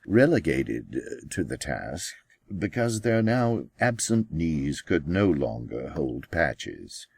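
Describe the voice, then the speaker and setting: A man narrates a novel in a very deep voice with a creaky, gravelly edge. His delivery is slow and deliberate, with dramatic pauses and a widely swinging pitch. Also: darkish tone, no echo, studio quality. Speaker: author reading own novel. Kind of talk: scripted audiobook narration.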